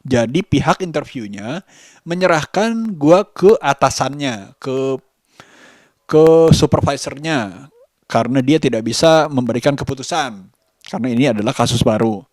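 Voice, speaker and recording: A man with a Jakarta Indonesian accent telling a personal story as a vlogger, halting and hesitant, pausing between phrases. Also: medium pitch, expressive, no echo, studio quality. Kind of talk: personal vlog storytelling to camera.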